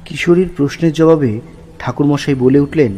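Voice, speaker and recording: A man's voice: a little high, a little fast, warm tone, husky, soft background music. A man playing an earnest, shaken narrator who recounts a supernatural horror tale in the first person with suspenseful expression. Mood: suspenseful narration.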